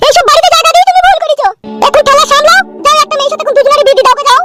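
A woman's voice: very high-pitched, very fast, loud music behind the voice. A woman voicing a scolding, exasperated village wife in a comedy cartoon, nagging in crude rural slang.

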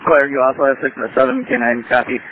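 A man's voice: medium pitch, quick pace. A man acting as fire incident commander, talking in terse exchanges over a two-way radio. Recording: tinny, hissy fire scanner radio.

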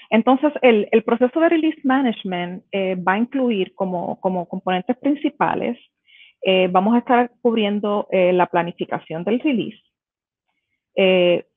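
A woman's voice: medium pitch, dry recording. A woman with a Puerto Rican accent giving a conference talk over a video call, explaining step by step with many fillers and restarts. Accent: Puerto Rican Spanish. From Puerto Rico. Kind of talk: conference presentation. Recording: tinny phone-like video call audio.

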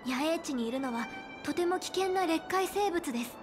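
A woman's voice: extremely high pitch, lively intonation, moderate pace. A woman voicing a polite, earnest, studious young female officer in a Japanese anime game, speaking formally and carefully. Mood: cautioning, serious. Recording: clean studio voice over game music.

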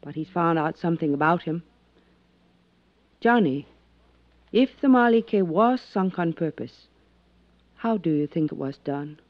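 A matter-of-fact helper in a 1950s radio mystery. His voice is fairly high. The old recording is dry and slightly muffled. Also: animated, unhurried, pauses often, dry recording. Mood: serious, informative. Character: matter-of-fact man aiding an investigation. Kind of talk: 1950s radio drama acting.

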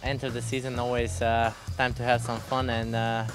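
A man's voice: mid-range voice, completely flat delivery, normal speed, faint music behind. A young man, a motocross rider, giving brief answers with uh fillers in a paddock interview on a handheld mic. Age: young adult. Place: outdoor race paddock. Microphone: handheld mic held by interviewer.

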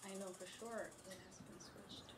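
A woman's voice: medium pitch, steady tone, normal speed, reverberant, background noise. A young adult woman in casual banter as a game player.

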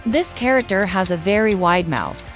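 A woman's voice: mid-range voice, lively intonation, moderate pace. A woman reading scripted quiz narration as the video's host. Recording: voice-over under loud music. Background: loud music.

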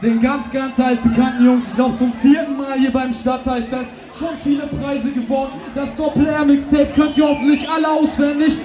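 A man in his thirties hosting a hip hop show on an outdoor festival stage, shouting announcements and crowd calls into a handheld mic. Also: extremely high pitch, level tone, moderate pace, hardly any pauses. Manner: hypes the crowd with loud calls. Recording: echoey live PA sound. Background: loud hip hop music and cheering crowd.

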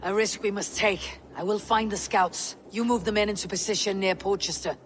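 Young woman, acted, Viking war leader, blunt, resolute, brash, mid-pitched, clear ambient noise. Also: fairly even intonation, normal speed, close to an everyday voice. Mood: impatient. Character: brash, determined female Viking warrior leader. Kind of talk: video game cutscene acting.

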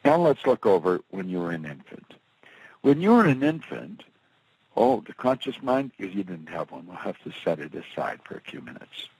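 A man lecturing at a seminar, his husky voice leaping up for emphasis and dropping low for quiet asides. He fires rhetorical questions and plays out little quotes. The sound is dry and close. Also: very animated, moderate pace, dry recording, poor audio. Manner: rhetorical questions and acted quotes, swinging from loud to soft. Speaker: motivational speaker.

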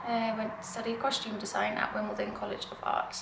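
A woman's voice: on the high side, sing-song, fast talker, vocal fry, background noise. A young Norwegian woman in her twenties, speaking English with a British-tinged accent, chatting to camera in a giggly, self-deprecating way as a knitwear designer. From Norway. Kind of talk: casual chat to camera. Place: dim living room, indoors. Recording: roomy living-room echo.

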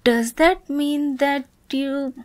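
A woman acting a slow, tender, teasing girlfriend. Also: sits high, steady tone, darkish tone, slight background noise. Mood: tender, earnest. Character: teasing, affectionate young woman confessing to her first love. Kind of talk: ASMR romance roleplay.

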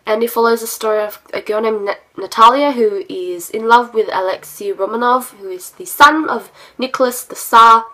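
A woman's voice: fairly high pitch, moderate pace, hardly any pauses, bright, forceful tone, rough recording. Young woman with a stuffy, sick nasal voice. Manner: rambling with many ums and self-corrections. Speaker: book vlogger. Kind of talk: casual chatty book haul. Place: small bedroom with bookshelves, indoors.

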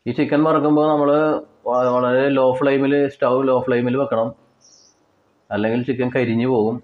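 A man with a Kerala Malayali accent giving step-by-step cooking instructions in a plain way, with pauses and repetitions. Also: mid-range voice, little pitch movement, narrow, tinny audio. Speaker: home cook presenter. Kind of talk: step-by-step recipe narration.

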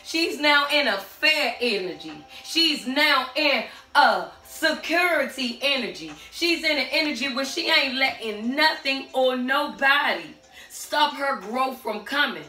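A middle-aged woman with a hoarse voice giving a tarot reading to camera in a small room at home, sassy and sing-song, calling out to the spirits. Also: on the high side, pushed and bright. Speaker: tarot reader. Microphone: camera standing a few steps away. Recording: echoey room, far from the mic, faint music.

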